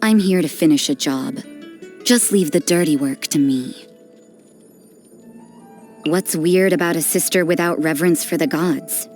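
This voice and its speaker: Casting: cynical young nun for a game, low register, dry, detached, unhurried delivery, set over music. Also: female voice, a little mellow. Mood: cool, blunt. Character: aloof, cynical, worldly nun who does dirty work. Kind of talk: video game character voice lines. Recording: background music under the voice.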